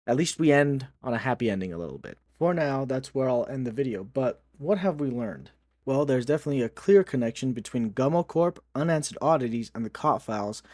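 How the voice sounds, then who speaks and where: A man's voice: medium pitch, darkish tone, no room sound. A man hosting a video, reading scripted recap commentary. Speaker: video host.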